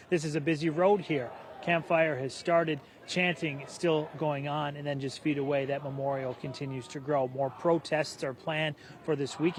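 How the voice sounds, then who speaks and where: A man with an American accent giving a live television news report outdoors on a city street. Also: fairly high pitch. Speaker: TV field reporter.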